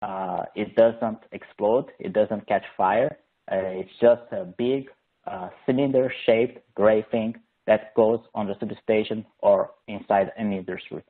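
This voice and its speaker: A man's voice: on the low side, measured pace. A man in his thirties from Brazil with a Brazilian Portuguese accent, presenting a technical engineering webinar in a hesitant, friendly way with many fillers. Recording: phone-like narrowband audio.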